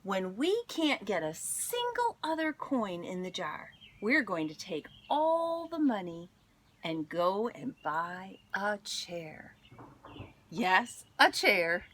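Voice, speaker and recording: Expressive woman reading aloud, unhurried. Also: medium pitch, slight background noise. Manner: expressive, deliberate storytelling with dramatic pauses. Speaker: teacher reading aloud to students. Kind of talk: children's story read-aloud.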